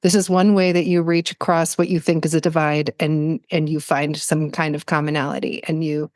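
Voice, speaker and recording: Woman podcast guest, lowish pitch, dry sound. Earnest, reflective storytelling, feeling out her words as she goes. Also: flat delivery, normal speed, a little mellow, no room sound.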